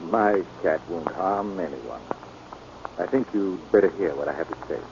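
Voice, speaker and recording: A man playing a hesitant, soft-spoken husband who carries a cat, in 1940s radio acting. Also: deep voice, a little hiss or hum. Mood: hushed. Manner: halting, quiet. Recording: muffled old radio recording, off-mic.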